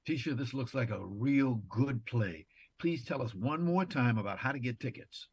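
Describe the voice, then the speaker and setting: A man from New York with a husky, mid-pitched voice hosts a talk show. He introduces guests in courteous, formal phrasing, and the sound is close and dry. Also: animated, normal speed, no room sound. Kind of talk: interview and introductions.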